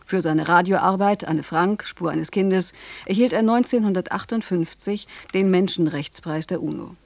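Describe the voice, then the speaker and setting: A woman's voice: lowish voice, normal speed, darkish tone, no echo. Woman radio presenter, very dry studio sound. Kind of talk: scripted radio introduction.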